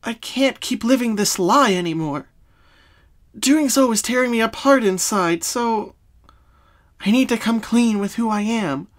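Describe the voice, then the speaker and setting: A woman voicing a sorrowful young female cartoon pony in a fan story, speaking softly and solemnly with hesitations. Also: on the high side, pitched above the performer's own voice, natural voice. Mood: pained, earnest. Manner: soft, solemn, halting. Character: sad young female pony confessing her hidden identity.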